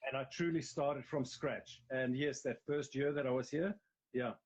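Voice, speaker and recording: A man's voice: mid-range voice, fairly even intonation, normal speed, breathy edge. A middle-aged man with a South African accent, a guest actor telling his story candidly in a casual interview, rambling a little and restarting phrases. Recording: slightly dull, lo-fi sound.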